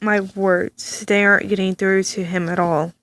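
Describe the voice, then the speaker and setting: A woman reading a story game aloud, with animated reactions and stammered asides. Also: medium pitch, moderate pace, dry recording. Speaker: let's play reader.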